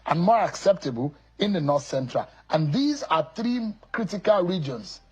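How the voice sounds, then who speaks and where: A man's voice: a little high, big pitch swings, normal speed, darkish tone, slightly rough. A man interviewing a political guest, reading out vote figures and challenging his claims.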